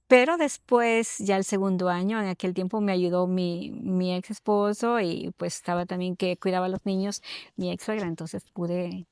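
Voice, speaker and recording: Mujer ecuatoriana de mediana edad, sonido seco. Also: female voice, fairly low pitch, steady tone, normal speed, a little mellow, smooth, no echo. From Ecuador. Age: middle-aged. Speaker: interview guest. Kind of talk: personal storytelling in conversation.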